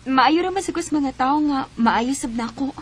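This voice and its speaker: Actress as very high-voiced young Cebuano wife. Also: female voice, normal speed, a little hiss or hum, close to an everyday voice. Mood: shy, flustered. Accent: Cebuano Filipino. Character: young Bisaya wife, trusting then anxious and hurt. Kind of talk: radio drama acting.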